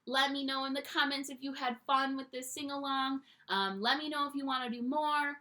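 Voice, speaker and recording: A young woman teacher reading a picture book aloud to children at home, in an animated storytime voice that invites them to join in. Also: high voice, a little fast. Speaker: primary school teacher.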